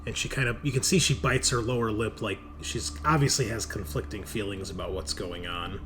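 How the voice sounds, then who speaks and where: A man narrating a tabletop roleplaying game as game master, describing scenes in a deliberate way. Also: lowish voice, steady tone.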